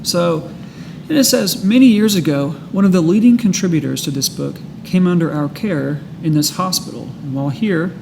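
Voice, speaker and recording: A man in a casual discussion of a book, reading passages and reflecting in a halting way. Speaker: host of a recovery book study. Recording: clear background noise with low rumble.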